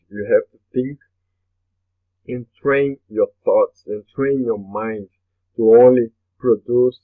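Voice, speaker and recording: Brazilian man on tinny webinar audio. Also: fairly low pitch. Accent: Brazilian Portuguese. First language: Portuguese. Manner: earnest, repeats phrases, frequent uh fillers. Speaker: webinar host. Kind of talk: motivational webinar talk.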